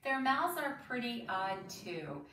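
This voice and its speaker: Slow-paced woman reading aloud, roomy sound. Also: fairly high pitch. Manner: expressive, deliberate, addressing young listeners. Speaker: teacher reading a picture book aloud. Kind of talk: read-aloud storytelling for children with asides and questions. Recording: roomy, distant mic with faint noise.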